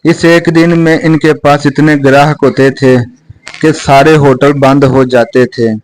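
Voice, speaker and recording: Slow male storyteller, slightly high voice. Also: level tone, poor audio. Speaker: storyteller, narrator. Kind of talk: scripted moral story narration.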